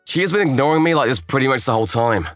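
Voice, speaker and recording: A man acts as an easygoing, kindly young male office worker in a manga dub. His casual delivery swings widely in pitch as he moves between narration and dialogue. Faint music plays behind him. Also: animated, rapid-fire, a bit bright, close to an everyday voice. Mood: wry narration. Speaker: narrator and lead character.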